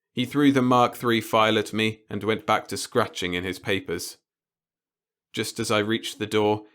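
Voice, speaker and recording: A man's voice: fairly low pitch, fairly bright tone. A man reading a scripted first-person story as an audiobook narrator.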